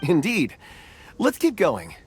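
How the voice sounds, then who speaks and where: Low-voiced, earnest, musing young wanderer. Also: female voice, huge pitch swings, slow pace, darkish tone, heavily acted. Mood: thoughtful. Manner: thoughtful, polite, musing. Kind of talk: video game character acting. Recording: game music behind the voice. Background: game music.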